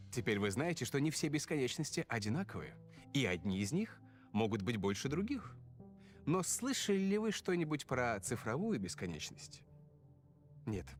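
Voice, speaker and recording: Man narrator, animated scripted read over faint music. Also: medium pitch.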